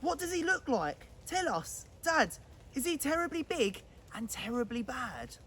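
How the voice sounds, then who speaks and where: A woman's voice: high voice, big pitch swings, a little slow, a bit bright, a little hiss or hum, exaggerated voice. A woman voicing a brave, curious young monster child in a storybook, exclaiming and wondering aloud. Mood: eager, curious.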